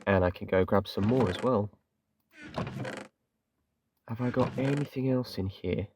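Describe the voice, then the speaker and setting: English man, low gravelly voice, chatty self-deprecating game commentary, somewhat distant from the mic. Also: measured pace, noisy background. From England. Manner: chatty, rambling, self-deprecating, lots of fillers like "kinda". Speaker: gaming channel host. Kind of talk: casual gameplay commentary.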